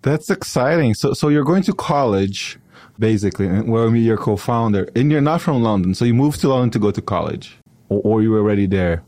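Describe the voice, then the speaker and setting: A man's voice: on the low side, expressive, brisk, darkish tone, no room sound, studio quality. A man hosting a podcast interview, upbeat and friendly, often stumbling over his words. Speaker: podcast host.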